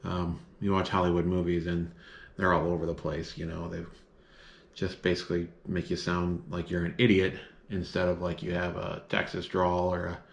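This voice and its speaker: A man from the northern United States with a low, deep voice, chatting casually to his viewers. He rambles and fills pauses with ums and you-knows. The room around him rings noticeably. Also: completely flat delivery, vocal fry. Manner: rambling, many filler words. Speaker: vlogger. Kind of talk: casual chat to camera. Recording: echoey room.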